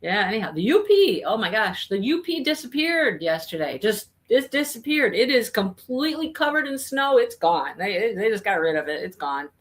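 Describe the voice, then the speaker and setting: A woman's voice: mid-range voice, very animated, normal speed, hardly any pauses. A woman co-hosting a livestream, chatting casually and teasing playfully. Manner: playful, teasing, laughs easily. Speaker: co-host of a craft livestream. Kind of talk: casual chat. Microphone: video call.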